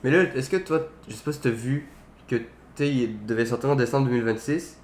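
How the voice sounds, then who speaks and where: A man's voice: mid-range voice, fairly quick, darkish tone. A young man with a Quebec French accent chatting casually about films, colloquial, with some English words dropped in. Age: young adult. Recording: roomy echo, faint background noise.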